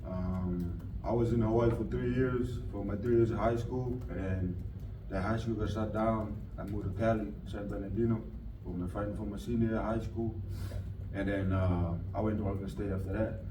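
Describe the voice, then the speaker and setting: A young man from Tonga, a college football player, giving relaxed, plain answers with fillers at a press conference. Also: lowish voice, moderate pace. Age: young adult. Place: press room. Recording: echoey room, distant mic, muffled, noisy.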